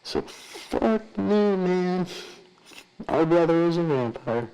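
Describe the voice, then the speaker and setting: A man giving casual comedic commentary with a crude, deliberate way of talking. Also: high voice, expressive, mellow, clear, resonant tone, poor audio. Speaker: podcast cast member.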